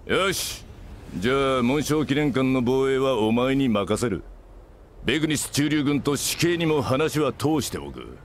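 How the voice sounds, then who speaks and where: An actor playing a gruff, blustering middle-aged father who runs a company, barking and rough-spoken in a video game cutscene. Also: mid-range voice, animated, a little slow, a little hiss or hum, natural voice. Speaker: game character.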